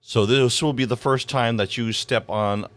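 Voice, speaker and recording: A man hosting an interview, asking measured, encouraging questions. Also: medium pitch, fairly even intonation, fairly bright tone, no echo. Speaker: interview host.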